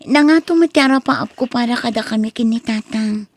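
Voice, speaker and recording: A woman's voice: on the high side, fairly quick, no echo. A young woman with an Ilocano accent playing an earnest, devoted daughter in a radio drama, with a pleading, emotional manner. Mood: earnest, worried.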